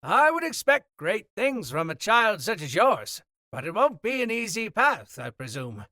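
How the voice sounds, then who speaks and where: A man voicing a courteous ship captain who speaks loudly and formally. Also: sits high, big pitch swings, a little slow, dry recording, studio quality, pitched above the performer's own voice, exaggerated voice. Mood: hearty. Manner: loud, gracious, formal.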